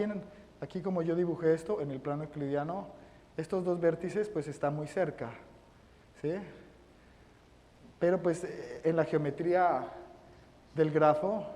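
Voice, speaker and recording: A man giving a math lecture, halting and often repeating his words. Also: fairly high pitch, dark tone. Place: lecture room. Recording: reverberant lecture hall.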